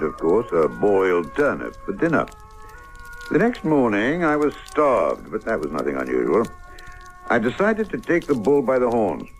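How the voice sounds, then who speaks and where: An earnest English painter tells his story in a vintage radio drama. His voice is extremely animated and dramatic, with faint music around it. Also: fairly low pitch. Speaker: narrator. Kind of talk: old-time radio drama acting and narration.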